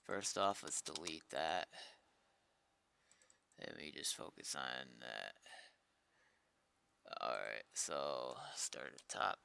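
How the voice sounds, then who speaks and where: Man, low bright voice, casual coding stream, muttered self-talk, occasional swearing. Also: expressive, takes it slowly, vocal fry, pauses often, slight background noise. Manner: muttering to himself, profane. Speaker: streamer doing game server development. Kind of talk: casual thinking aloud while coding.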